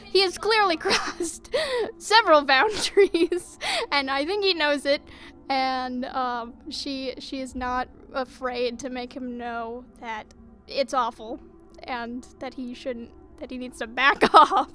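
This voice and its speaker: High-voiced, informal woman. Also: fairly even intonation, takes it slowly, pushed and bright, soft background music. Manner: informal, wry paraphrasing of the character. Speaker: actor discussing a play. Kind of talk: casual character commentary.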